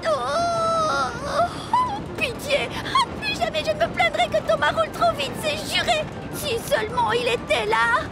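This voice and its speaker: A woman's voice: very high-pitched, measured pace, vocal fry, over loud music, a loud crowd, heavily acted, rough recording. A woman voicing a prim, easily alarmed railway carriage who exclaims and complains in a children's cartoon. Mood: panicked. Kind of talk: children's cartoon dub acting.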